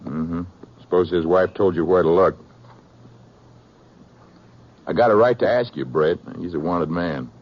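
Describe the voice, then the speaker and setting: A man's voice: low voice, normal speed. An American actor playing a prickly, folksy western man bent on justice, with a confrontational manner, in 1950s radio drama. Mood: grudging, suspicious. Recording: muffled old radio recording.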